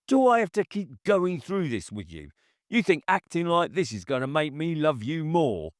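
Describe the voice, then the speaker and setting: A man's voice: a little high, big pitch swings, moderate pace, no echo, clean studio sound, voice pushed up. A man reading an audiobook, voicing a cunning, controlling man who turns from coaxing to hard and dismissive. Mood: angry. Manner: cajoling then hard and dismissive. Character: controlling, menacing grown man, cunning and short-tempered. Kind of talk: audiobook character voice.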